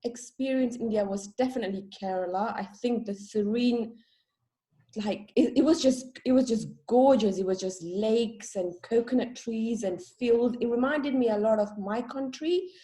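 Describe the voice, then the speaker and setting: A woman's voice: fairly high pitch, normal speed, mellow. A young woman from Sri Lanka, a guest in a casual interview, talking openly and chattily and laughing at herself.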